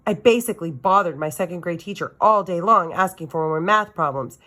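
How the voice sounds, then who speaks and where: Low-voiced woman reading a story aloud. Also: brisk, fairly bright tone. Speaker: teacher reading aloud to students. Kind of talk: expressive children's novel read-aloud.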